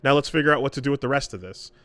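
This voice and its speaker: A man co-hosting a podcast, chatting casually and explaining his points in a measured way. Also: mid-range voice, animated, a bit bright, dry recording. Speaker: podcast co-host. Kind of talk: casual conversation about storytelling.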